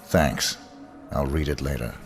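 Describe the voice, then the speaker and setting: An actor playing a cold, terse professional hitman in a video game, clipped and deadpan. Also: rumbling low, a little slow, creaky, faint music behind, heavily acted. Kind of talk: video game cutscene acting.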